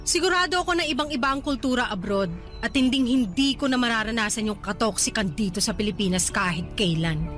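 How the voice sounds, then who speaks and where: A woman's voice: fairly high pitch, animated, brisk, fairly bright tone, soft background music. A young woman with a Filipino accent playing a vain, ambitious resort worker weary of family burdens, in theatrical radio drama style. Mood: indignant. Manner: theatrical, indignant, exclaiming.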